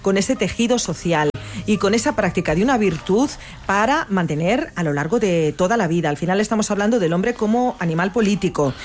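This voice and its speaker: A woman hosting a talk, calmly recapping and linking her guest's ideas. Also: mid-range voice, faint music behind. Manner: measured, recapping the guest's points. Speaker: host. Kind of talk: radio talk, summing up.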